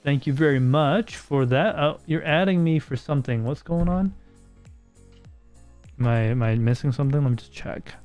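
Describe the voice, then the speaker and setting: A man's voice: a little high, moderate pace, a little mellow, soft background music. An American man hosting a casual podcast livestream, chatting with viewers and reading their messages, often repeating words as he thinks aloud. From United States.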